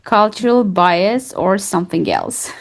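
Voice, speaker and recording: A woman with a medium-pitched voice moderates an interview. She delivers prepared questions at a slow pace, politely and deliberately. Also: sing-song, creaky. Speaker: moderator putting questions to a guest.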